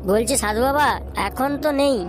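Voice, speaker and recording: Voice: sits high, normal speed, over music, close to an everyday voice. A performer voicing a cheeky, foul-mouthed young male slacker in a comic cartoon, brash and whiny.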